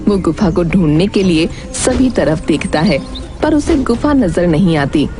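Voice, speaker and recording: A woman narrates a scripted fairy tale for children. Her voice is a little low for a woman, and music plays clearly behind it. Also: expressive, unhurried.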